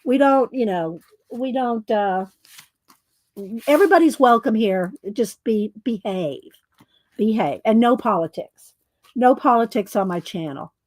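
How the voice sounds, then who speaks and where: A middle-aged woman hosts her crafting channel, talking loosely and breaking off her own sentences as she works. Her voice is loud and sits a little high. The sound is close and dry, with almost no room around it. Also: animated, unhurried, dry recording. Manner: chatty, rambling, self-interrupting, laughs often. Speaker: crafting YouTube host. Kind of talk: casual chat while making art. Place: home art studio.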